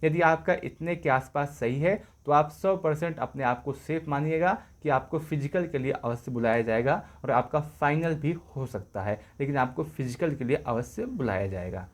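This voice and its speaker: A man's voice: on the high side, moderate pace, few pauses. Man explaining things in a reassuring way. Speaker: exam-update presenter. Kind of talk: informal explanatory lecture to exam candidates.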